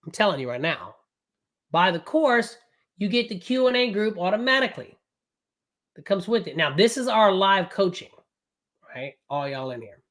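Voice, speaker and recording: A man running a live trading class, recovering from a cold, talking in a loud, brash and profane way with lots of rambling asides. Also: sits high, sing-song. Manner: casual, fast and rambling, with frequent "right?". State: recovering from a cold, voice not fully back. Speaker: day trading coach.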